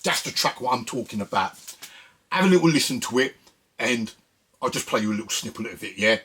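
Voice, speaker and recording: A man's voice: medium pitch, lively intonation, brisk, a bit bright, a little hiss or hum. A man hosting a music video series, talking casually and directly to his viewers in a conversational way. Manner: conversational, addresses viewers directly with frequent "listen" and "yeah". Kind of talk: casual chat to viewers about records and samples.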